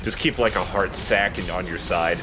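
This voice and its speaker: A man's voice: sits low, moderate pace, noisy background. A man giving casual, joking commentary while he plays a video game. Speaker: let's play host playing the game.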